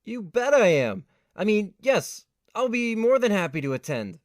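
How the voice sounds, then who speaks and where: A man's voice: high voice, big pitch swings, normal speed. A man playing an eager, awkward young student in a fan audio drama. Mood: flustered, eager.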